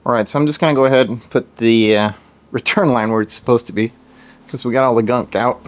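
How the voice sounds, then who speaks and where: A man's voice: mid-range voice, steady tone, fast talker. A man from Sweden, a native Swedish speaker, chatting casually to viewers while he works on a car project in his garage and thinks aloud. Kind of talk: casual garage work commentary. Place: home garage.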